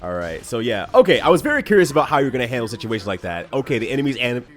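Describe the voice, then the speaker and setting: A man's voice: mid-range voice, very animated, very fast, faint music behind. A man co-commentating a group let's play, joking and laughing often. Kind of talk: casual gameplay chat.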